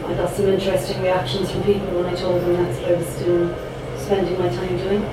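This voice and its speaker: A woman's voice: fairly low pitch, monotone, brisk. Irish woman performing spoken word poetry. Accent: Irish, Cork. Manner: rhythmic, dramatic delivery with chatty asides between poems. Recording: echoey room picked up from a distance, background noise.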